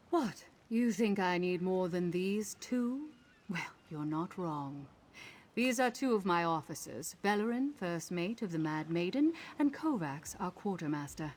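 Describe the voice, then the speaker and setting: Proud pirate captain, lowish voice. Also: female voice, darkish tone. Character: proud, vengeful female mercenary pirate captain, wry and commanding. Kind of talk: video game character acting.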